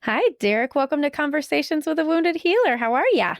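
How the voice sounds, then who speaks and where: A woman's voice: high voice, lively intonation, a little fast, vocal fry, no echo, clean studio sound. A woman hosting a podcast interview from home, chatty and effusive, often laughing. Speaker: podcast host. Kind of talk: casual interview. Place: home, during lockdown.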